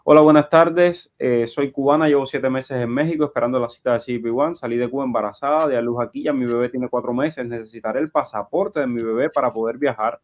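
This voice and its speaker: Male live Q&A host, medium pitch, conversational, reads viewer questions out loud. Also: fast talker, few pauses. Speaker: live show host and journalist. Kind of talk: live Q&A, reading audience questions aloud.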